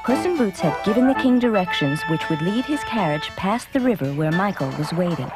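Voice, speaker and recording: A woman's voice: medium pitch, sing-song, a little fast, over loud music, lightly acted. A woman storyteller delivering scripted fairy-tale narration for a cartoon.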